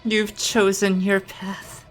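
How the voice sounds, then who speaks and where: A woman's voice: mid-range voice, level tone, slow pace, faint music behind. A woman giving casual, playful commentary as she plays through a video game. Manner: playful, jokey. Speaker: game streamer playing through a story quest.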